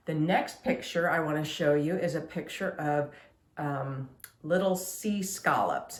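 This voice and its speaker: A woman leading an online story time for young children as a librarian, giving simple instructions and asking them questions. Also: sits low. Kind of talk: children's online lesson with songs.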